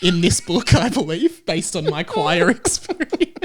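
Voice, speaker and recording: A man's voice: high voice, very animated, measured pace. A man hosting a podcast in casual comedic chat, with dry, mock-serious jokes. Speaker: podcast host.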